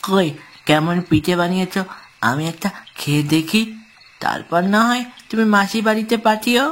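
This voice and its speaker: A woman's voice: mid-range voice, animated, normal speed, natural voice. A woman voicing a greedy, wheedling young boy in a horror cartoon, with faint night noise behind. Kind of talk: Bengali horror cartoon dub.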